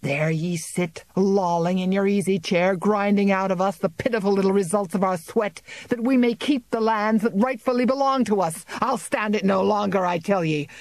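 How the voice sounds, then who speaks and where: A reader voicing a defiant male tenant farmer of about thirty who speaks harshly and shouts in anger. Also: mid-range voice, animated, moderate pace, few pauses, no room sound, voice pushed up, close to an everyday voice. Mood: furious. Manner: shouting, harsh and rough-spoken.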